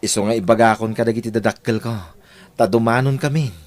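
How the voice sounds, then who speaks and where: A man plays a young son in love in an Ilocano radio drama. His voice sits at a middle pitch, and he is devoted and insistent. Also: steady tone, fast, a little mellow, natural voice. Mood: ardent, reassuring.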